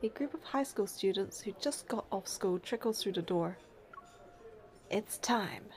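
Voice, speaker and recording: A woman's voice: mid-range voice, expressive, a little slow, darkish tone. A woman doing a game playthrough, reading narration and heroine lines aloud and commenting casually.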